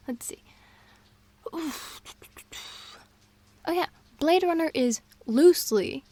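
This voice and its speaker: A woman in an intimate girlfriend roleplay, rambling through fun facts in a scatterbrained, excitable way. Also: a little high, dry recording. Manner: scatterbrained, excitable, jumps between topics. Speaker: audio roleplay performer.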